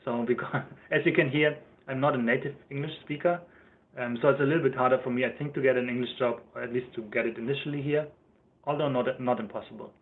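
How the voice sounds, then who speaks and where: A man's voice: mid-range voice, fairly even intonation, fast talker. German-accented man on a thin livestream. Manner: rambling, self-correcting, answers chat questions. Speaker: YouTuber. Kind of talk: casual livestream chat. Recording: thin phone-like livestream audio.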